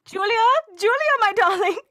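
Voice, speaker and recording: A woman's voice: very high-pitched, animated, normal speed, fairly bright tone, no echo. A woman performing an earnest, distressed young Englishwoman telling her tale in a theatrical live radio mystery. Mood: alarmed, pleading. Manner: theatrical, melodramatic. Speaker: voice actor reading cold. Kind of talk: live old-time radio mystery acting.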